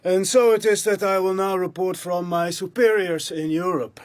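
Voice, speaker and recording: A man's voice: high-pitched, steady tone, moderate pace, dry recording, lightly acted. Stiff, formal agent, acted by a man. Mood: grave, formal. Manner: formal, stilted foreign English. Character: formal, stiff European agent reporting for his superiors. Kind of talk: radio drama acting.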